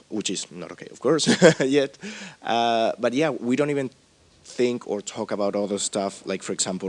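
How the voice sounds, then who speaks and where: A man with a very deep voice reports back from a small group at a workshop. He talks in a halting, rambling way, with many filler sounds. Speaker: workshop participant.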